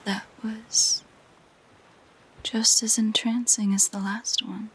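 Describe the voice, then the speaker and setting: Breathy, whispering siren played by a woman. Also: mid-range voice, level tone, rough recording. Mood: musing delight. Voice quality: soft, breathy, mostly whispered. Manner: slow, gentle, musing delivery. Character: possessive, curious female siren, sweetly entranced by humans. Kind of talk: intimate audio roleplay, ASMR.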